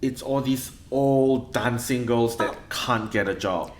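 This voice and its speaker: An actor with a Singaporean accent playing a harried, cutting nightclub boss short of money in a radio play, grumbling and sarcastic. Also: male voice, medium pitch, slow pace, roomy sound, a little hiss or hum, lightly acted. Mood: gloomy, grumbling.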